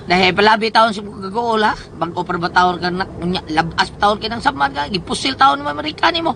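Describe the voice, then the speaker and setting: A woman's voice: medium pitch, animated, quick pace, creaky, a bit bright, a little hiss or hum. A woman playing a brash, folksy, meddling friend in a radio drama, with a Filipino accent. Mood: scolding, exasperated. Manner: folksy, peppered with verbal filler "taon". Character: brash, meddling, wisecracking provincial friend giving advice. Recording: radio drama with music underneath.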